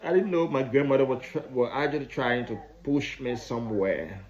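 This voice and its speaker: A man in his thirties with a Liberian accent, telling his own story in a halting way, often repeating and restarting phrases. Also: mid-range voice, a little mellow. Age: 30s. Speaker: vlogger. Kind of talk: personal storytelling. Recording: dull lo-fi recording.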